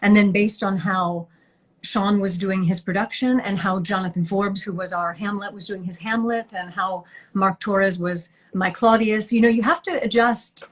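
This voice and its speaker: Laughing actress guest on a video call. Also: female voice, mid-range voice, steady tone, moderate pace. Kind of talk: interview answers.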